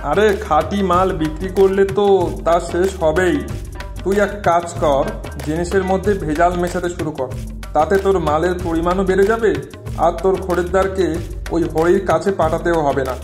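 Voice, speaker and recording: A man's voice: sits high, fairly even intonation, moderate pace, soft background music, natural voice. A man voicing a sly, meddling elder-brother figure who gives crooked advice in a prodding, persuasive way in a cartoon. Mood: scheming. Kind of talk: moral-story cartoon voice acting.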